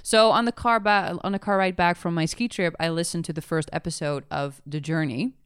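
Lady podcast host, low smooth voice, dry close sound, chatty storyteller. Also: brisk, no room sound. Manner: chatty, animated storytelling with quoted asides. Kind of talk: casual chat.

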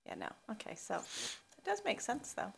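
Woman, young adult, vocal fry, relaxed, rambling, casual podcast co-host. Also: mid-range voice, normal speed. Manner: relaxed, filler-heavy storytelling. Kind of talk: casual chat.

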